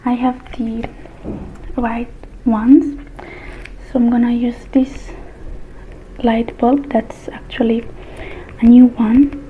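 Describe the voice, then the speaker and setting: A woman whispers her way slowly through an ASMR roleplay, soft and murmuring. When voice breaks through the whisper it sits fairly high. The recording is thin and phone-like. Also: little pitch movement, slight background noise. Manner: soft, mostly whispered, unhurried murmuring with pauses. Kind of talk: whispered ASMR roleplay as a technician repairing a robot.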